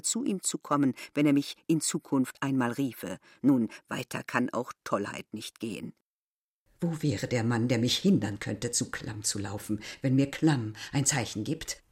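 A proud village landlady in a radio drama, middle-aged and embittered. She argues with emphasis and scorn, insisting on every point. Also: rumbling low. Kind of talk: literary radio drama acting.

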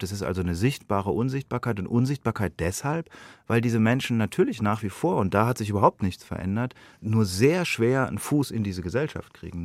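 A man's voice: fairly low pitch, darkish tone, no echo. A man from Germany speaking thoughtfully and earnestly as an interviewed playwright, reflecting on his own work. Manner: thoughtful, earnest, self-questioning.

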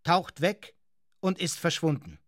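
Higher-voiced man narrating an adventure drama. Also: measured pace, fairly bright tone, no echo, clean studio sound. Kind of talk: scripted dramatic present-tense narration.

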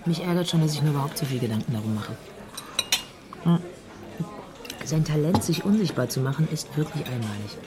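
Fed-up woman, fairly low, indignant, natural radio drama, busy chatter and tableware behind. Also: animated, brisk, long pauses. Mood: indignant, weary. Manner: indignant, complaining. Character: aggrieved woman fed up with her ex.

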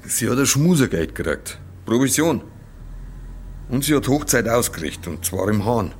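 A man's voice: medium pitch, sing-song, normal speed, slight background noise, lightly acted. An actor playing an insistent, scheming young village man in a radio drama, explaining emphatically with a Bavarian accent. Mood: urging, persuasive.

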